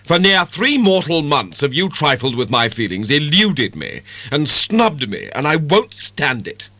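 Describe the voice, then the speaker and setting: Male reader as haughty young gentleman rake, impatient and insistent, swinging intonation, dry period-drama sound. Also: fairly high pitch, big pitch swings, a little slow, dry recording, pitched above the performer's own voice, full character voice. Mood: indignant, insistent.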